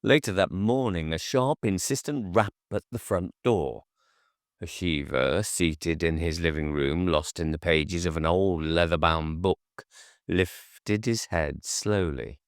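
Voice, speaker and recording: A man reading an audiobook in a measured, quiet narration style. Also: deep voice, smooth, dry recording, clean studio sound. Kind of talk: scripted narration.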